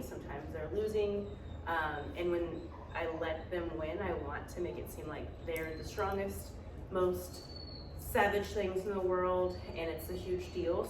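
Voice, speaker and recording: A woman training her dog, talking through play etiquette with a stuffy cold voice and plenty of fillers. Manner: relaxed explaining with fillers, high-pitched praise to the dog. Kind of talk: instructional dog training demo. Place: training space with a dog present. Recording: echoey, mic far off, background noise.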